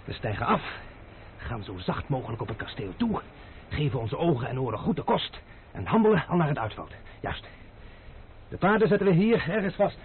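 An actor playing a bold, impatient cavalier master in an old radio play, brusque and commanding, dismissive of fear. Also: male voice, a little high, very animated, brisk. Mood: brisk, commanding. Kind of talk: old radio drama acting. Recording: noisy narrow-band old radio play recording.

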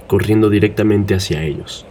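A man's voice: on the low side, moderate pace, warm tone. A man narrating a scripted, dramatic audio story. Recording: clear hum, clicks and throbbing noise.

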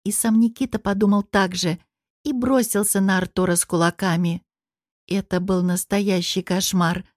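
A woman reading first-person fiction as an expressive audiobook narrator. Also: mid-range voice, normal speed, no room sound, studio quality.

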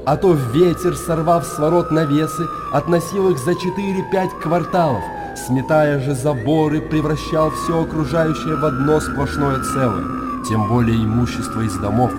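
An actor playing a chronicler who solemnly recalls disasters of old, in declamatory radio drama narration. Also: male voice, a little high, moderate pace, lightly acted. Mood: grave, bleak. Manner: grave, declamatory storytelling. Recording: clear background ambience noise.

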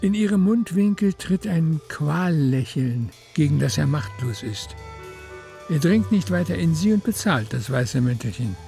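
A man's voice: fairly high pitch, lively intonation, a little slow, gravelly, dark tone, soft background music. A man with pronunciation reading literary narration for a radio drama.

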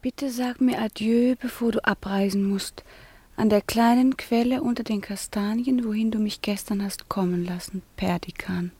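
An actress playing a proud, jealous young noblewoman in a radio drama, murmuring hushed, breathy asides to herself. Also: mid-range voice, steady tone, normal speed, dry recording. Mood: hurt, suspicious. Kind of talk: intimate radio drama monologue.

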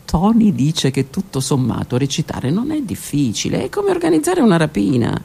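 A woman narrating her own theatre monologue in a hushed, lingering, measured way. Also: low voice, wildly swooping pitch, vocal fry, husky. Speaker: author and performer.

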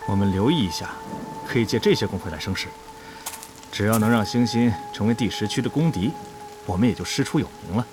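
A man playing a measured, authoritative and calculating online guild leader in an audio drama. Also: on the low side, over music, lightly acted. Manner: measured, decisive. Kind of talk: Chinese audio drama dialogue.

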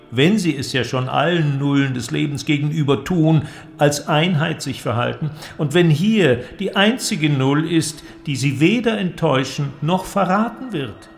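An actor playing an eloquent, cynical inventor who argues in a declamatory, creaky voice in a literary audio drama. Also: male voice, a little high, unhurried. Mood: persuasive, mocking. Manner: declamatory, argumentative, rhetorical. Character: male inventor, cynical, eloquent philosophical persuader.

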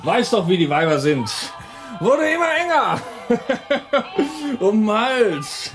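A boozy young man playing the jovial old pal, pitched high and theatrically hearty. The delivery is drawn out and swings widely, as in a radio drama, over music. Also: big pitch swings, takes it slowly, exaggerated voice. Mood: tipsy, laughing. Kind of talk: German radio drama acting.

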